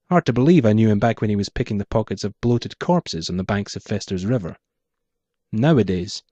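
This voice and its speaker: A man reading dry low noir narration. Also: warm tone, no room sound, muffled recording. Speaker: author reading his own story. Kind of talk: first-person noir narration.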